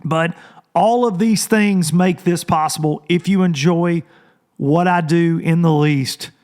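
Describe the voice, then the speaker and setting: A man hosting a casual podcast, hoarse and rambling, chatting loosely to his listeners into a microphone. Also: a little high, takes it slowly, darkish tone. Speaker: podcast host. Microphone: studio microphone.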